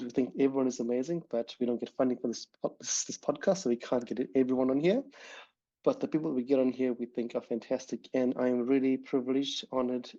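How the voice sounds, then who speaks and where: A man hosting a podcast in a relaxed, rambling way, with many ums and restarts. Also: mid-range voice. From immigrant to New Zealand. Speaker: podcast host. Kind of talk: casual podcast chat. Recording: dull lo-fi audio.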